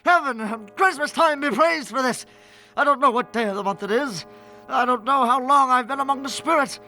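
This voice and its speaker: A man's voice: extremely high pitch, brisk, sharp tone, soft background music, exaggerated voice. A man playing a repentant old miser in a radio play, theatrical and stammering with emotion. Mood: dazed, relieved. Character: repentant old male miser, desperate then giddy.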